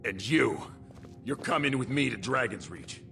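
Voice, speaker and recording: An actor playing a stern, overbearing guard captain in a fantasy video game, commanding and accusatory in manner. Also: male voice, medium pitch, expressive, unhurried, creaky, music in the background. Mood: outraged.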